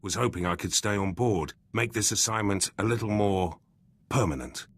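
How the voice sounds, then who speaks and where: An actor voicing a gruff, battle-hardened soldier in a video game, terse and blunt, speaking in clipped sentences. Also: male voice, fairly low pitch, moderate pace, no echo. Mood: proud, matter-of-fact. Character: gruff, battle-hardened male military commando, loyal and brash.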